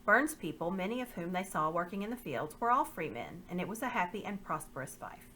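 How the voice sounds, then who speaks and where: A woman reading a children's novel aloud with expressive, measured narration. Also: fairly low pitch, a little hiss or hum. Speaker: reader.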